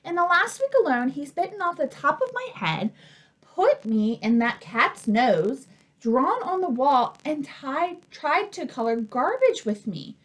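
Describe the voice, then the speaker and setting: A woman's voice: high voice, big pitch swings, a little slow, poor audio. A woman voicing a long-suffering, aggrieved and desperate crayon in a children's storybook.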